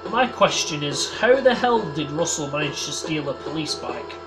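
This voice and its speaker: A man's voice: fairly high pitch, lively intonation, moderate pace. A man with a slight head cold, sniffling as he gives casual, swear-heavy, self-mocking commentary over a video game. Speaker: gameplay commentator. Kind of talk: casual let's-play commentary. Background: loud game music and sound effects.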